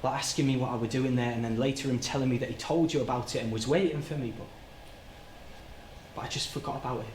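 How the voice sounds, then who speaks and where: A man's voice: medium pitch, steady tone, close to an everyday voice. Man acting guilt-ridden working-class man, echoey stage. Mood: uneasy recollection. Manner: halting, plain-spoken, repeats and stumbles over words. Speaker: stage actor. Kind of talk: live theatrical monologue. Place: indoor soundstage. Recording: roomy, noisy soundstage livestream.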